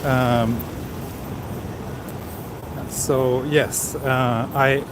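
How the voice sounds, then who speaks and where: A man's voice: medium pitch, level tone, extremely slow. A man chatting casually while walking outdoors on a city street, reading viewer comments aloud with plenty of hesitant fillers. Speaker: livestream walking vlogger. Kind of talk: casual live-stream chat. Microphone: handheld camera with small recorder mic and wind cover. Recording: street noise around handheld mic. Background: city street ambience.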